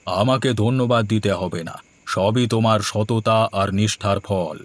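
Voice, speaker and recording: A man voicing a solemn, humble holy hermit in a cartoon, measured, formal and admonishing. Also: lowish voice, steady tone, lightly acted. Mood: approving, calm. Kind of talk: Bengali moral-tale cartoon voice acting.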